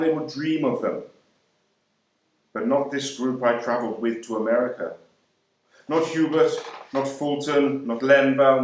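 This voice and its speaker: A man playing a wry airman, slow and echoey. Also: mid-range voice, lightly acted. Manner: wry, measured, deliberate pauses. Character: young Jamaican man serving in the air force, proud and wry. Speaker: actor reading a monologue. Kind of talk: dramatic monologue reading. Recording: very echoey room.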